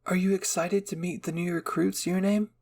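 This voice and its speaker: A man voicing a kind, caring young woman soldier in a story roleplay, speaking gently and with sympathy. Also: high voice, flat delivery, normal speed, voice pushed up. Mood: friendly, curious. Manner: gentle, sympathetic, soft-spoken.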